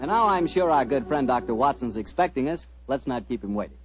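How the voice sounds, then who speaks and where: A man's voice: mid-range voice, animated, fairly quick, over music. An American man working as a radio announcer, with polished, emphatic delivery in a 1940s broadcast style. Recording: dull 1940s radio recording.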